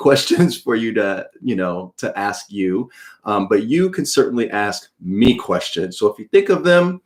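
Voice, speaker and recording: A man, a children's author, speaking to an online audience in a live read-aloud in a friendly, direct way. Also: mid-range voice. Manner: friendly, chatty, addressing viewers directly.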